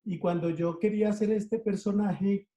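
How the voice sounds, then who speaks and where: A middle-aged Colombian man with a Colombian accent, talking in an interview at an easy, unhurried pace and stacking his points into rhythmic lists. His voice sits a little high for a man and has a mellow, dark tone. He is recorded in an echoey room. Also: steady tone. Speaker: interviewed cartoonist. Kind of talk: relaxed storytelling.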